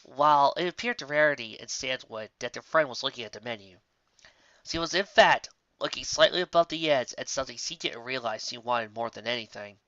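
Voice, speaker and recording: A man's voice: medium pitch, brisk, a bit bright, dry recording. A man reading a story aloud as scripted narration. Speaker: story reader. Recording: dull, muffled, very dry.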